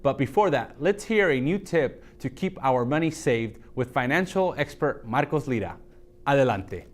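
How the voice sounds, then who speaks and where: A man's voice: medium pitch, animated, moderate pace. A man hosting a TV interview, friendly and hesitant with many fillers. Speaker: TV host.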